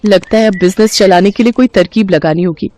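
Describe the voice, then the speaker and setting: A woman's voice: mid-range voice, fairly quick, dark tone, close to an everyday voice. A woman voicing a poor, pregnant young widow in a cartoon story, speaking in an earnest, pleading way.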